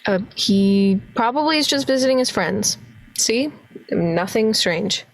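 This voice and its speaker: A weary small-town detective, played by a woman in a radio play. The voice sits at an ordinary female pitch, dry-witted, hesitant and sardonic. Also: lively intonation, a little slow, poor audio. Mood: skeptical, dry. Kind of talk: amateur radio play acting.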